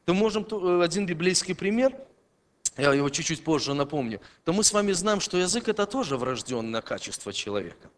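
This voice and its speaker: A man preaching a sermon to a congregation, emphatic, swinging between loud declarations and quiet, low passages. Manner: emphatic, rising and dropping in loudness.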